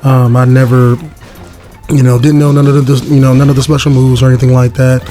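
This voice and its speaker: A young man with a hoarse, worn-out voice talks through his thoughts on a game. His delivery is loose and rambling, packed with fillers and restarts, and sits at a mid pitch. Soft music plays faintly behind him. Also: flat delivery, brisk. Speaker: gaming video host. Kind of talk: casual gaming commentary.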